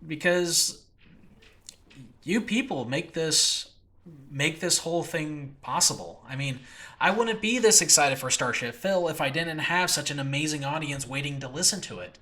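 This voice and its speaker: A man hosting a casual solo podcast, gushing excitedly to his listeners in a rambling, halting, self-interrupting way. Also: on the high side. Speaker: host and creator of an audio drama channel.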